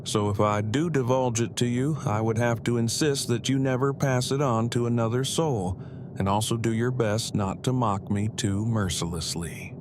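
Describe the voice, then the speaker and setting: A man's voice: mid-range voice, moderate pace, noisy background, lightly acted. A man playing an earnest, bookish husband in an audio drama, speaking in formal, wordy and precise phrases. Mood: hesitant, confiding. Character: verbose, earnest, bookish husband on a road trip.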